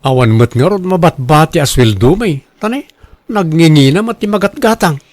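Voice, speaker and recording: A man's voice: fairly high pitch, animated, normal speed, darkish tone, no room sound, close to an everyday voice. A man playing a cash-strapped, defensive husband pleading for loans in an Ilocano radio drama, with an Ilocano accent. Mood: earnest. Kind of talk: Filipino radio drama acting.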